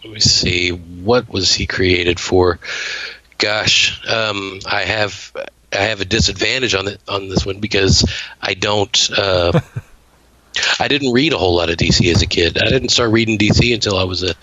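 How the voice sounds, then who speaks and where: A man in his forties co-hosting a casual podcast, answering in a hesitant, halting way. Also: deep voice, steady tone, bright, forceful tone, no room sound, muffled recording. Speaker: podcast co-host.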